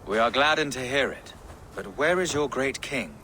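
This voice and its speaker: Bright-voiced, solemn medieval bishop. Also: male voice, medium pitch, expressive, slight background noise. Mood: concerned, courteous. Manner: measured, solemn, formal. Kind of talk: video game voice acting.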